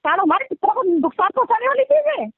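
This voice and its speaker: A woman's voice: very high-pitched, very animated, fast talker, no echo. A woman in a heated phone argument, foul-mouthed, threatening and scolding, heard over a narrow-band call recording.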